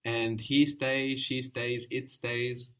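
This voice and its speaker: A man's voice: medium pitch, flat delivery, fairly bright tone, clean tone. Patient male language teacher. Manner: patient, prompting questions and quick praise. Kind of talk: guided language lesson.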